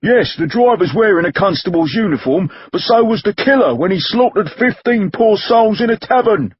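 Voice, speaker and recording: Brief: rough drunken street ruffian, read by a man, accusing and coarse, lively swings. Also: high-pitched, moderate pace, muffled recording, voice pushed up. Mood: jeering hostile. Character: drunken rough Victorian mob man, hostile.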